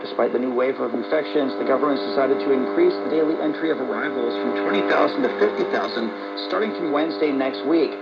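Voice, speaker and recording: A man's voice: medium pitch, lively intonation, quick pace, hardly any pauses. A man reading scripted news as an anchor, heard on a very noisy, dull shortwave radio broadcast.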